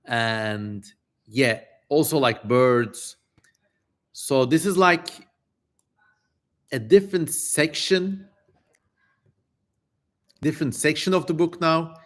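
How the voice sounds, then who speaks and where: Slow-paced theatrical man streamer. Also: a little high, fairly bright tone. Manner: theatrical, jokey, informal swearing. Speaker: streamer, host. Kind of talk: dramatic reading livestream with casual asides.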